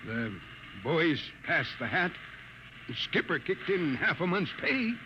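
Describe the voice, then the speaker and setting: A man's voice: on the high side, big pitch swings, measured pace. An actor playing an uneasy, apologetic ship's crewman who talks hesitantly and stammers, in a 1950s radio drama. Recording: muffled old radio broadcast, loud hiss.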